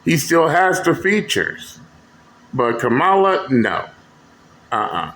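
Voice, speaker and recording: A man's voice: fairly high pitch, big pitch swings, takes it slowly, fairly bright tone. A man hosting a commentary channel, talking bluntly and mockingly with profanity as he reacts to clips. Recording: echoey room with faint noise.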